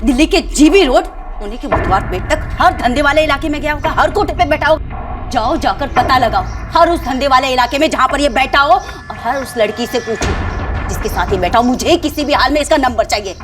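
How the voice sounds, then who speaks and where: A woman's voice: high-pitched, expressive, brisk, music in the background. A woman playing a ruthless, imperious brothel madam who threatens people in a TV crime drama. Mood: commanding. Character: ruthless female brothel madam, commanding and menacing. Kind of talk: Hindi TV crime drama acting.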